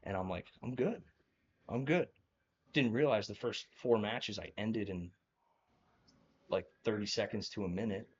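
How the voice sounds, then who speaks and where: A man's voice: lowish voice, unhurried, pauses often, lo-fi sound, slight background noise. A young man from New Jersey telling stories as a guest in a casual interview, rambling with lots of fillers and laughing often. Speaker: guest, former college wrestler.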